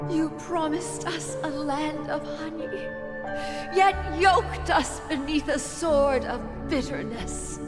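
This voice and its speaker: A woman playing a grieving desert mother in a video game, praying to her goddess in a solemn, dramatic way. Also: high-pitched. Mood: bitter. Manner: slow, solemn, prayerful. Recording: long reverb under prominent music.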